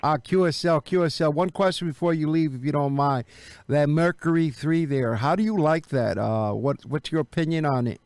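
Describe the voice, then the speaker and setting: A man from the United States, an amateur radio operator, chatting in an easygoing, halting way from his home radio room. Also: a little high, darkish tone. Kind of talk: casual radio chat.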